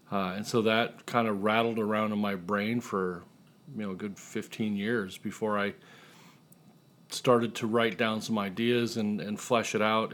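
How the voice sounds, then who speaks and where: A man from the United States chatting as an author guest, explaining things in a conversational way. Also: fairly low pitch. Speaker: author guest, humor writer and ghostwriter. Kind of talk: casual interview chat.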